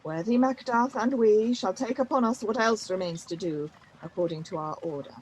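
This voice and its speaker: A woman's voice: medium pitch, normal speed, a little hiss or hum, close to an everyday voice, low-quality recording. A woman playing a young noble prince and army leader in a Shakespeare radio play, with formal commanding verse.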